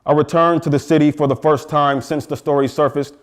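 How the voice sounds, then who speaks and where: An American man from Flint, Michigan, a poet reading his work aloud in a deliberate, incantatory manner. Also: fairly high pitch, steady tone. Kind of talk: poetry reading.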